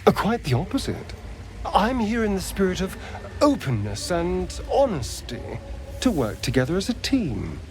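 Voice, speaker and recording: An actor playing a flamboyant, wry, charming vampire in a video game, with an arch, theatrical, drawn-out delivery. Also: male voice, high-pitched, huge pitch swings, soft background music. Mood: smooth, placating. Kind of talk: video game character acting.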